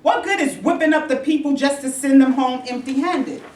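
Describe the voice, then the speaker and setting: A woman's voice: high voice, a little fast, a little mellow, natural voice. A woman playing a defiant, demanding movement activist in a staged play reading, with a challenging manner. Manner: insistent, challenging. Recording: echoey room, distant mic, background noise.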